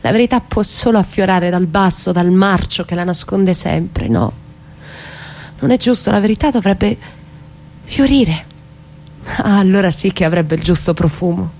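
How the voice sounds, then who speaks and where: An actress playing a courageous, bitter mother and doomed witness in an Italian radio stage drama, with intense, deliberate delivery. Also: lowish voice, darkish tone, a little hiss or hum, natural voice. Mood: solemn, defiant.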